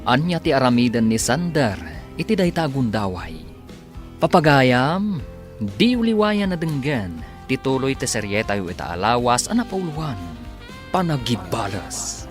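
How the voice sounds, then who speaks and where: A man's voice: mid-range voice, sing-song, a little slow. A man narrating and announcing an Ilocano radio drama in a dramatic scripted style. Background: music under the voice.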